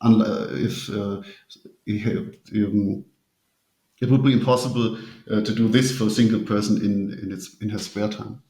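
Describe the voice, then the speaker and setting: A man's voice: fairly low pitch, steady tone, moderate pace, creaky, mellow. A native German man speaking English as an interview guest, explaining his publishing work earnestly and choosing his words carefully. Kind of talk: conversational interview. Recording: roomy, echoing sound.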